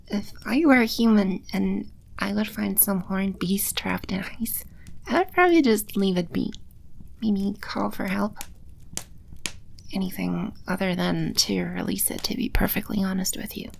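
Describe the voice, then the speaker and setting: Woman playing a slow, creaky, haughty female demon. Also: mid-range voice, slight background noise. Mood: condescending, sardonic. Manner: condescending, sardonic, unhurried. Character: haughty, scornful female demon thawed from ice, vengeful. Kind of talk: scripted audio roleplay.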